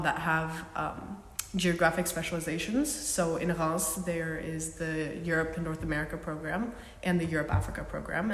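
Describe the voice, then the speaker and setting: A young woman, a former student, explaining her university program in a podcast interview, relaxed with frequent ums. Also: low-pitched. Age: young adult. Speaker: alumna guest. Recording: echoey room with long reverb.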